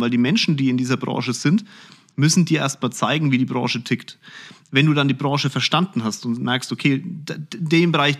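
Blunt, direct man, mid-pitched. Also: fairly bright tone. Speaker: podcast host being interviewed, finance executive. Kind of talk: casual podcast interview.

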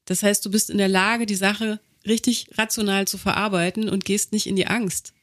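A woman's voice: medium pitch, steady tone, normal speed, fairly bright tone. A woman co-hosting a podcast, asking questions and explaining things in a casual conversational way. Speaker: co-host.

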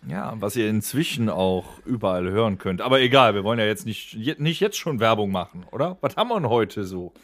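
A man's voice: lowish voice, lively intonation, fairly quick, hardly any pauses, no room sound. A man with a German accent co-hosting a casual podcast with teasing, dry-humoured banter. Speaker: podcast co-host.

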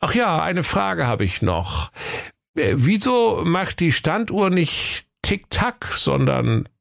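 Raised pitch, a man as officious animal police inspector, huffy, blustering, comic storybook, lively swings. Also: takes it slowly, gravelly, dry recording, studio quality, full character voice. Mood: puzzled. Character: impatient, huffy male police inspector in animal fairy tale.

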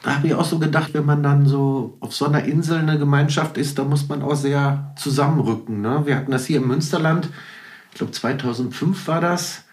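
A man's voice: fairly high pitch, fairly even intonation, brisk, darkish tone. A middle-aged man as a podcast guest, telling anecdotes in a casual, enthusiastic conversational way.

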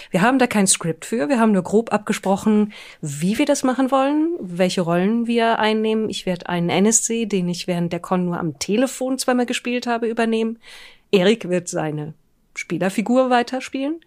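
A woman's voice: medium pitch, a little mellow. A woman co-hosting a podcast, chatting casually and explaining things without a script. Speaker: podcast co-host. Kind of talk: casual unscripted chat.

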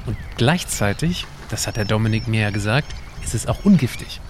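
A man's voice: fairly low pitch, expressive, normal speed, a little hiss or hum, lightly acted. A man playing a curious, chatty time traveller in an educational audio drama, explaining things in a conversational way. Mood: relaxed, explaining. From Baltic coast, northern Germany.

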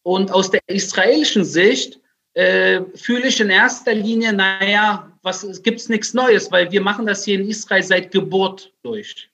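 A man's voice: high voice, measured pace, vocal fry, bright, forceful tone. A man in his forties from Germany, explaining things conversationally as an interview guest over a remote connection. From Germany, Berlin. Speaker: interview guest, writer and military spokesman.

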